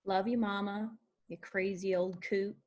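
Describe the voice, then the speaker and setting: A woman's voice: medium pitch, steady tone, a little slow, darkish tone, highs cut off, natural voice. A woman acting a smart-alecky but loving young daughter, a shy aspiring singer, in a screenplay table read. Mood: affectionate. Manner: smart-alecky, dry comebacks.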